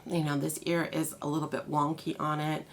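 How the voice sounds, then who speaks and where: Low, gravelly-voiced woman reviewing products. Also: little pitch movement, fairly quick, slight background noise. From Canada. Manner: chatty, many fillers and restarts. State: congested. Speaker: haul video host.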